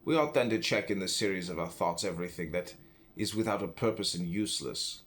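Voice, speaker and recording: A man reading a philosophy book aloud in a solemn, deliberate way, phrase by phrase with pauses. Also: sits low, a bit bright. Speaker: audiobook reader.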